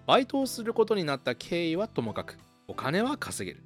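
A man's voice: fairly high pitch, wildly swooping pitch, fairly quick, a bit bright, soft background music. A man playing an upbeat young university student who narrates and chats in an amateur radio drama. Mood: reflective narration. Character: upbeat young male university student recounting summer memories.

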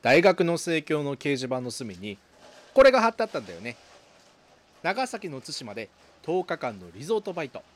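A man's voice: fairly high pitch, big pitch swings, moderate pace. A man playing an upbeat young university student who narrates and chats in an amateur radio drama. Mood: eager. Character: upbeat young male university student recounting summer memories.

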